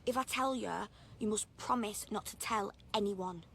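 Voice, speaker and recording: A woman's voice: mid-range voice, normal speed, a bit bright, slight background noise, lightly acted. An actress playing a brash, restless fifteen-year-old schoolgirl in a naturalistic radio drama, blunt and challenging. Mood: secretive.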